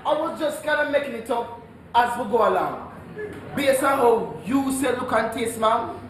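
A man playing an excitable, evasive Jamaican household helper in a comedic stage play, with folksy, rambling, exclamatory talk. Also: very high-pitched, moderate pace. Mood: defensive, boastful. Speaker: stage actor. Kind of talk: broad comedic stage acting. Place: indoor theatre stage. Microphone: distant stage pickup. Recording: echoey theatre from far off, noisy.

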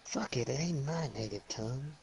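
A man's voice: mid-range voice, animated, normal speed, darkish tone, slight background noise, low-quality recording. A man chatting casually with friends while gaming, swearing and joking. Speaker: gamer streaming with friends.

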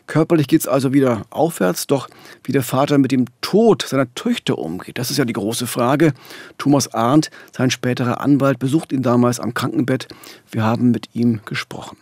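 A man's voice: medium pitch, normal speed, a little mellow, no room sound. A middle-aged man narrating a true-crime podcast as co-host at a studio microphone. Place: small radio studio. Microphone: studio microphone, headphones.

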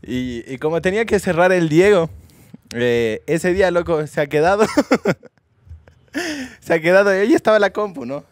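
Lively young Ecuadorian man telling stories. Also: a little high, normal speed, long pauses, dry recording. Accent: Ecuadorian Spanish. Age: young adult. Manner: jokey, giggly, colloquial. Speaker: podcast co-host telling anecdotes. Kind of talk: casual comedic storytelling. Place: outdoor rooftop terrace. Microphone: handheld microphone held close.

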